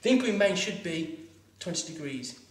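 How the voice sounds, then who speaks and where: Guy teaching plumbing in a workshop, highish voice, bit far from the mic, echoey room. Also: sing-song, normal speed. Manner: practical, instructive, stumbling restarts. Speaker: plumbing instructor. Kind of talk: hands-on demonstration lesson.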